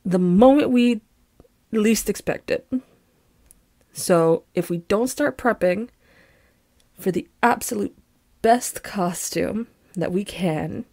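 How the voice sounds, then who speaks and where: A woman playing an affectionate, playful, excitable young girlfriend in an intimate roleplay audio, with a bubbly, teasing and pleading manner. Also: medium pitch, slow pace, long pauses, no room sound. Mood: excited.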